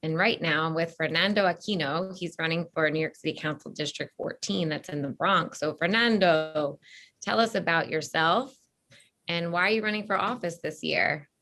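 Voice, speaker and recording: A woman's voice: low-pitched, normal speed, sharp tone. A woman hosting a short candidate interview, reading a scripted intro and reacting enthusiastically. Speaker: interview show host.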